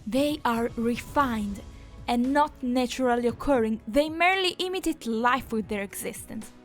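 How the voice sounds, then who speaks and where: A woman's voice: a little high, moderate pace, soft background music, close to an everyday voice. A woman playing a haughty, easily offended goddess of natural life in a fantasy audio drama, formal and accusatory.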